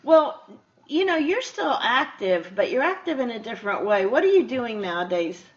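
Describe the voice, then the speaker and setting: A woman's voice: on the high side, big pitch swings, moderate pace. A woman hosting a radio show, interviewing a guest in a casual, chatty and conversational way. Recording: dull, lo-fi radio interview audio.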